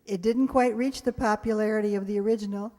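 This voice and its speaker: A woman's voice: mid-range voice, steady tone, quick pace, warm tone. Woman hosting in a reverberant hall. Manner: chatty, engages the audience with questions. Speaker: host introducing a radio play to an audience. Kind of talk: live spoken introduction with trivia and audience questions. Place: live hall in front of an audience. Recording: echoey hall with long reverb.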